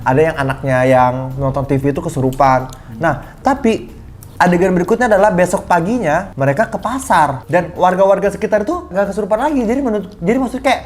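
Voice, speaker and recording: A man's voice: sits high, lively intonation, fast. Exasperated swearing guy reviewing a film. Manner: swearing, mocking, rising to exasperated outbursts. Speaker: film reviewer, channel host. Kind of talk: casual film review chat.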